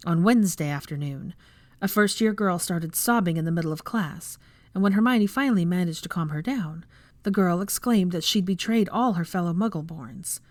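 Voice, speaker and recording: A woman narrates a piece of fan fiction in a scripted storytelling style. Her voice sits slightly low, and the recording is dry, with very little room around it. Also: normal speed, darkish tone, no echo. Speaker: audiobook narrator.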